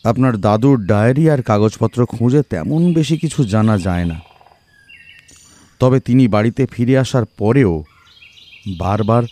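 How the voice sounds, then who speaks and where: A man playing a composed, analytical detective in an audio mystery, explaining deliberately. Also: lowish voice, mellow, slight background noise. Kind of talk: audio detective story acting.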